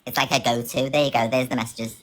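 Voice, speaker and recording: A woman's voice: extremely low pitch, steady tone, fast, background noise. A woman chatting casually on a livestream and reading messages out, with a voice filter on. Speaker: livestream participant.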